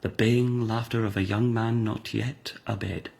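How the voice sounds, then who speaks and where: An actor playing a suave, sly retired emperor turned monk, courteous, deliberate and insinuating in a radio drama. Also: male voice, lowish voice, steady tone, creaky, darkish tone. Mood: sly, flattering.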